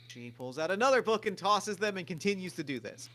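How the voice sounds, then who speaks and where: A man's voice: high voice, lively intonation, brisk, bright, forceful tone. A man reading scripted stage directions as the narrator of a table read.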